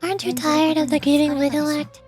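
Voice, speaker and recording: A cool young female magician's assistant from a game, acted by a woman. The delivery is deadpan and quietly pointed, in a high register over faint music. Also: fairly quick, lightly acted. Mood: cold, accusing. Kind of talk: video game character voice acting.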